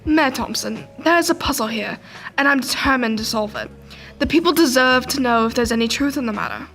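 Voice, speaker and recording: A young woman acts a fearless reporter in an amateur radio play. Her voice is high and earnest, declaring each line with determination over background music. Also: fairly quick, fairly bright tone. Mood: determined. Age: young adult. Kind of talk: amateur radio drama acting.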